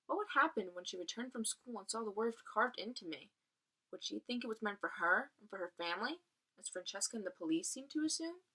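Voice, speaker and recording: A woman with a clearly bright voice reads a children's novel aloud at a middling pitch. She narrates the text and slips into casual asides that explain it to young listeners. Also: animated, brisk, poor audio. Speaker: reader of a children's novel read aloud, explaining as a teacher would.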